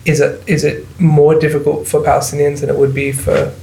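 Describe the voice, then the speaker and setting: A man hosting a podcast asks questions in a fairly high but flat, gravelly voice. He hesitates and restarts as he asks. The sound is roomy and echoey. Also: fairly quick, a little hiss or hum. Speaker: podcast host. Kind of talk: interview questions. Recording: echoey room.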